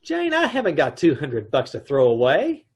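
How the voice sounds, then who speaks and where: A man playing a deadpan, husky-voiced advertising man. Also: fairly high pitch, normal speed, exaggerated voice. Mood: dry. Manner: deadpan, wisecracking. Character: dry wisecracking advertising man narrating. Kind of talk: old-time radio comedy acting and narration. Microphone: video call.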